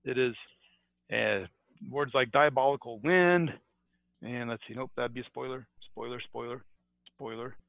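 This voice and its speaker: A man's voice: medium pitch, unhurried, creaky, pauses often, no echo, narrow, tinny audio. An American man co-hosting a casual podcast about a book, chatty and laughing often, with frequent restarts. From United States. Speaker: podcast co-host. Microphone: headphones and mic.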